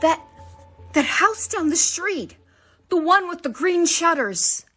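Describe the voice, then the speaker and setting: A woman's voice: very high-pitched, animated, slow, sharp tone, faint music behind, heavily acted. A woman playing an earnest, emotional young space lieutenant in a radio drama. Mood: overwhelmed, joyful.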